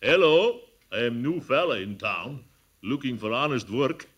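A man's voice: medium pitch, sing-song, measured pace, fairly bright tone, dull audio. A man doing a put-on foreign dialect as a fake immigrant gardener speaking broken English, in 1940s radio comedy. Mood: bluffing.

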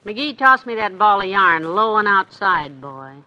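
A woman's voice: medium pitch, big pitch swings, a little slow, muffled recording. An American woman playing a sensible, teasing middle-aged wife in a 1950s radio comedy, fond but chiding. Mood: cheerful. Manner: chiding, good-humored.

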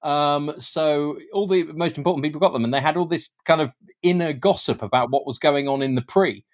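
A man's voice: a little high, brisk. A British man speaking as an interviewed author, explaining things informally and often hesitating or repeating words as he goes. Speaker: guest author and historian.

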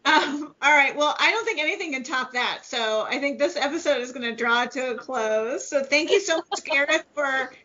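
A woman with a strong Midwestern accent hosts a podcast and steers the talk calmly. Her voice is high and bright, with a forceful edge. The audio is dull and lo-fi. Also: moderate pace, hardly any pauses, slight background noise. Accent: Midwestern American. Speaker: podcast co-host. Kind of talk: casual chat, wraps up the episode.

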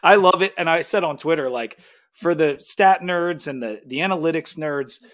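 A man's voice: fairly high pitch, normal speed, a bit bright. A man hosting a sports podcast, chatting casually and enthusiastically.

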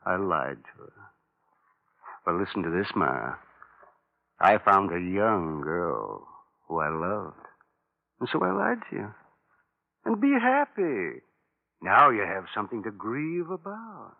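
Cornered American murderer, bitter, taunting, widely swinging pitch, old radio drama, tinny sound. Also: male voice, huge pitch swings, slow, vocal fry, long pauses, exaggerated voice. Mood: cruel, taunting. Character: bitter, cornered male killer and unfaithful husband. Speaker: actor in a radio crime drama. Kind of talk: 1950s radio melodrama acting.

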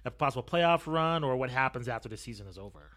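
Male sports podcast host, bright forward tone, middle pitch, casual interview delivery. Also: lively intonation, fast talker.

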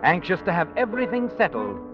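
Sly radio suspense narrator over brass music. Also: male voice, sits high, very animated, fast talker. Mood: ominous. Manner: sly, accusing, dramatic. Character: knowing male narrator needling a schemer in second person. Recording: dull old radio recording with loud brass music.

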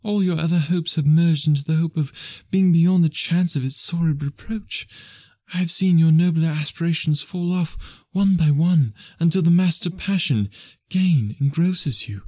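Male reader voicing a soft-spoken young woman, sorrowful yet steady, pitched well above his own voice, dry read. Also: moderate pace, no echo, natural voice. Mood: sorrowful, earnest. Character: gentle sorrowful young woman releasing her fiancé.

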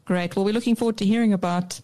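A brisk radio host with a South African accent keeps the banter moving. She teases her guests, and her pitch sits in an even middle range.

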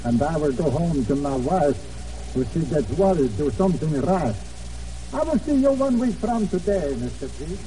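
An actor in an old radio drama playing a loyal, grave island rancher with halting, deliberate, formal phrasing. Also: male voice, on the high side, lively intonation, lightly acted. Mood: gently wry. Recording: muffled old radio, distant, faint noise.

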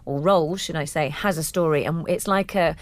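A woman's voice: sits low, a little fast. A woman who is a musical theatre actress co-hosting a podcast, chatting enthusiastically. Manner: warm, enthusiastic, gushing. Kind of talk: casual theatre chat.

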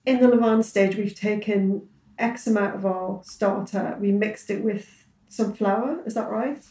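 A woman hosting a podcast, chatting casually and asking questions, with quick affirmations and easy laughs. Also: medium pitch, steady tone, mellow. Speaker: podcast host. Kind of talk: casual interview chat. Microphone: video call. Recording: echoey room.

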